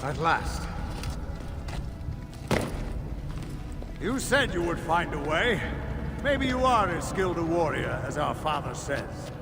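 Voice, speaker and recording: A proud prince leading a losing war in a video game. His fairly high voice swings widely in pitch, commanding and intense, with music clearly behind it. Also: expressive, moderate pace. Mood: resolute. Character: proud male prince, elder brother, desperate embattled leader. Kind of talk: dramatic video game cinematic acting.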